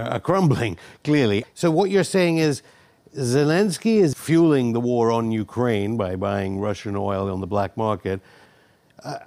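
Man, medium pitch, news interviewer, host delivery, scripted and questioning. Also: darkish tone.

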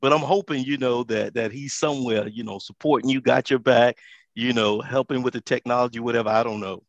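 A man's voice: medium pitch, fairly even intonation, brisk, no room sound, highs cut off. A man hosting a casual interview, talking with enthusiasm and rambling with many fillers. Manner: enthusiastic, rambling, lots of fillers and repeated words. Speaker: interview host.

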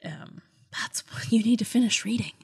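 A woman podcast co-host in casual book discussion, animated and giggly, often interrupting herself. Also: a little high, normal speed, gravelly, breathy edge, no echo, whispered.

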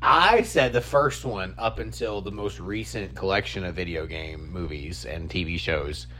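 An American man in his thirties hosting a casual comedy podcast, swearing freely and telling stories in an animated, self-deprecating way. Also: low-pitched, moderate pace, soft background music. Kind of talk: casual, profane chat about movies and games.

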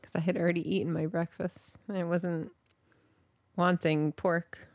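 A woman with a low, gravelly voice trades teasing remarks in easy podcast chat. She corrects her co-host quickly and dryly. Her voice sounds close and dry. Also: level tone, darkish tone, dry recording. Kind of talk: casual chat.

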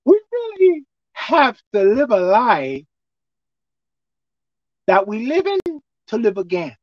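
A man with a squeaky voice preaching a sermon as pastor, emphatic and forceful. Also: wildly swooping pitch, slow pace, no echo, highs cut off. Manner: emphatic, pitch leaping up and down.

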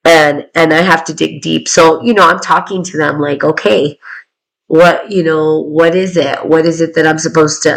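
A loud woman with a deep voice, chatting casually about spiritual matters. She rambles and leans on fillers, and laughter slips in often. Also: rough recording. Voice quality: self-described deep voice.

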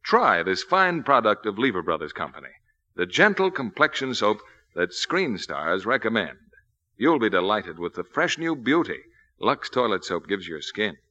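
An American man as a 1940s commercial announcer. His forceful, mid-pitched voice swings with lively emphasis, on a slightly muffled old radio recording. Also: moderate pace. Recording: dull vintage radio recording.

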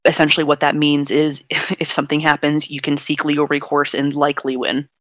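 A young non-binary podcast host with a fairly deep voice speaks in an even, level tone. They explain things frankly and at length, as if chatting among friends. The sound is dry and close, with no room around it. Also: a little fast, no room sound. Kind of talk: casual podcast chat.

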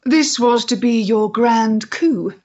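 An actress playing a proud, intense woman rebel of the Victorian era, measured, grave and dramatic, in a period stage play. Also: on the high side, darkish tone, lo-fi sound. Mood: cool, knowing.

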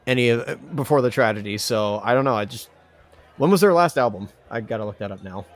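Rambling man on a casual podcast. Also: mid-range voice. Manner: rambling, halting, repeats himself. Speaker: podcast co-host. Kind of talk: casual banter and ranting.

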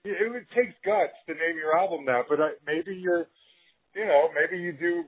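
A man with a slightly high, husky voice interviews a guest casually. He is agreeable and enthusiastic, in the informal way of a podcast host. The audio is narrow, like a phone call. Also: normal speed.

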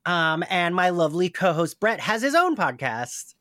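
Animated man chatting on a podcast. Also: high-pitched, moderate pace, no echo, clean studio sound. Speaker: podcast host, guest. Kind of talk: casual chat.

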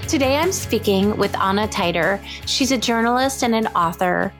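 A woman's voice: mid-range voice, moderate pace, faint music behind. A woman hosting an interview podcast, thoughtful, asking long winding questions. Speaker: podcast host.

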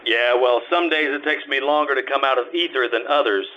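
A man's voice: medium pitch, a little fast, a little hiss or hum, natural voice. Man playing a wry hard-boiled private eye, muffled recording. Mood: wry, tough. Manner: wry, clipped tough-guy patter. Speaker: amateur radio troupe actor. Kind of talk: old-time radio detective acting with narration. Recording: muffled recording with faint music.

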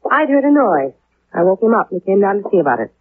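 An actress playing a cool, guarded young widow in a 1950s radio drama, with a self-possessed, understated delivery. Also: female voice, mid-range voice, big pitch swings, fast talker, a little hiss or hum. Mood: guarded, flat. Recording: tinny, phone-like 1950s radio recording.

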